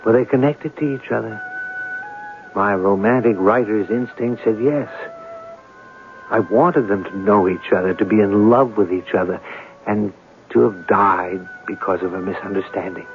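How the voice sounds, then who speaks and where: An actor playing an inquisitive, romantic writer, probing and deliberate, in a 1970s radio mystery drama. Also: male voice, fairly low pitch. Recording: noisy, narrow-band old radio broadcast.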